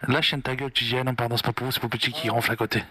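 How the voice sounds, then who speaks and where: Man, rapid-fire delivery, very bright forceful tone, joking mock-pious roleplay. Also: mid-range voice, level tone, a little hiss or hum. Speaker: co-player in gaming video. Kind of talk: casual improvised game roleplay.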